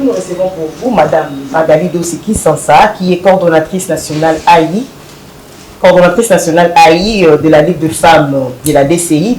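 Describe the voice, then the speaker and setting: A woman's voice: low voice, a little mellow, roomy sound, a little hiss or hum, poor audio. A woman hosting a talk show, opening formally and asking questions. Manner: formal welcoming announcer tone. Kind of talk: interview hosting.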